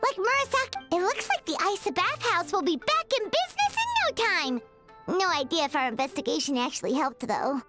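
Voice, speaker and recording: Squeaky, bubbly tiny fairy girl companion. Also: sing-song, brisk, over music. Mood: upbeat, confident. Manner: speaks of herself in third person, exclaiming, cutesy. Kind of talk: video game character acting.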